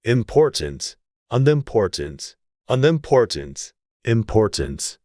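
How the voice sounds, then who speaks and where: A pompous storybook king, voiced by a narrator, emphatic and blustering yet muddled. The voice sits at a middling pitch and is recorded very dry. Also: big pitch swings, slow, warm tone, dry recording.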